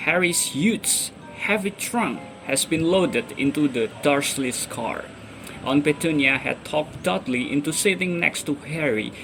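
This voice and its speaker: A man's voice: mid-range voice, low-quality recording. A young man giving a dramatic reading of a children's fantasy novel. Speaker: reader. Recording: clear music behind the voice. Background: music.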